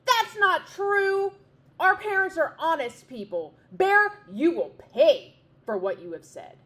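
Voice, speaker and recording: A woman voicing a brood of young wren birds, shrill, loud and indignant. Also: sing-song, slow, full character voice. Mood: outraged.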